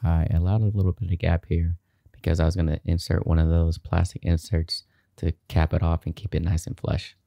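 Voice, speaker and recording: Low, gravelly, mellow man narrating DIY. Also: level tone, fairly quick, dry recording, studio quality. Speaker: DIY video host. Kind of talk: casual how-to narration.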